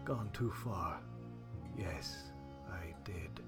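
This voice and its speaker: A vengeful doctor from a dark audio tale, acted by a man. He speaks softly and haltingly, with a cold, remorseless hush. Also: mid-range voice, loud music behind the voice, close to an everyday voice. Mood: grimly resigned. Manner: hushed, soft-spoken, halting. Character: vengeful, remorseless small-town doctor, quietly confessing.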